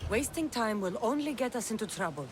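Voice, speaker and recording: A woman's voice: a little high, expressive, a little fast, noisy background, close to an everyday voice. An actress playing a bold, blunt female mercenary in a video game, speaking firmly and with command. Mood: resolute. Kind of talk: video game cutscene acting.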